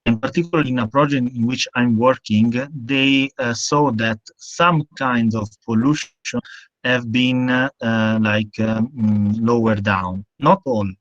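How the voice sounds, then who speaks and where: Male panel guest, Italian accent, hesitant non-native phrasing, medium pitch, muffled video-call sound. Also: steady tone, a little slow, fairly bright tone, dry recording. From northern Italy. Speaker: guest speaker on climate action. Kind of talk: online panel discussion answer, unscripted. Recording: dull, lo-fi video call audio.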